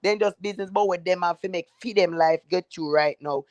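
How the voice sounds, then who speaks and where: A woman's voice: lowish voice, brisk, darkish tone, no room sound. A woman with a Jamaican accent, a panel guest talking in an earnest, reflective way on a remote call.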